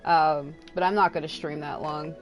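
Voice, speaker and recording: A woman with a slightly low voice talks casually on a gaming livestream. She rambles and vents in an offhand way, swearing casually as she goes. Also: fairly even intonation, normal speed, faint music behind, rough recording.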